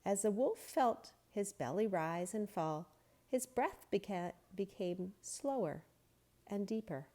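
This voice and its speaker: Woman reading to kids aloud, slow and gentle, very lively pitch. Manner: gentle, expressive, unhurried. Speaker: storybook reader. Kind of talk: children's story read-aloud.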